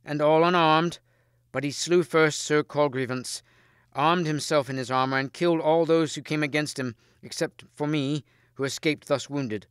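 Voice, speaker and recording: A wounded villain knight from a storybook, acted by a man, bitter and vindictive. He accuses urgently in a high-pitched voice, recorded very dry. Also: fairly even intonation, moderate pace, smooth, dry recording, lightly acted.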